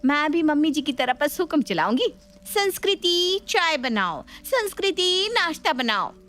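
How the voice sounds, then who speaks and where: A woman voicing an excitable young daughter-in-law in a family story, playful, dramatic and gleefully bossy. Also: high-pitched, measured pace, faint music behind, pitched above the performer's own voice, full character voice. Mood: gleeful scheming.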